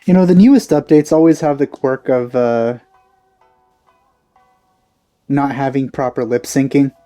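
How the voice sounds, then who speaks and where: A man's voice: mid-range voice, normal speed, warm tone, no echo, soft background music. A man giving casual gameplay commentary as a let's play host.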